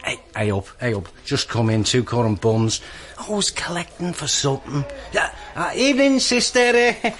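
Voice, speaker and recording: An actor in a radio drama playing a naive working-class detective's sidekick, talking colloquially and hesitantly. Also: male voice, on the high side, sing-song, normal speed, fairly bright tone. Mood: colloquial, flustered. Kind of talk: BBC radio drama acting.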